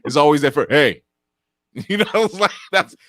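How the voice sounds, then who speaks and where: An older man with a deep voice, chatting casually as a guest and laughing often while he tells stories. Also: animated, moderate pace, a bit bright, no room sound, clean studio sound. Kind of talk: casual chat.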